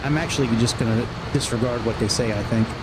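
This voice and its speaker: Man flight-sim streamer over loud engine drone. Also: mid-range voice. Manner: halting, thinking aloud. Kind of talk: casual live gameplay commentary and radio calls. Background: simulated jet cockpit noise.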